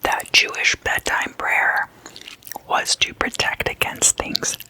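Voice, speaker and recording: A person speaking in a breathy, unvoiced whisper, hushed and conversational, like an ASMR host chatting at a sleepover. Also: poor audio. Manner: slow, hushed, casual and conversational. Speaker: host of an ASMR roleplay series.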